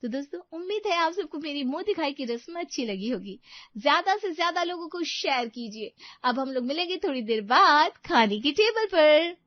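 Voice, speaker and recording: A woman's voice: sits high, animated, normal speed, no room sound, highs cut off, voice pushed up. A woman voicing a vain, bossy young bride who chirpily performs for her followers in a cartoon story. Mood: gushing. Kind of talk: cartoon moral-story dialogue.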